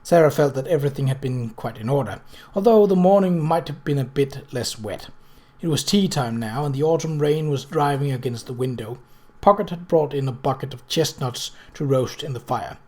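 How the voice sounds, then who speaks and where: A man's voice: medium pitch, normal speed, warm tone. A man narrating a novel aloud in a scripted storybook style. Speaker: audiobook reader.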